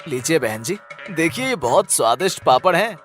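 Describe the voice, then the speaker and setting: A man's voice: on the high side, sing-song, moderate pace, a bit bright, soft background music. A man voicing a good-humoured, affectionate village farmer and hawker in a cartoon story, coaxing and reassuring. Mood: polite.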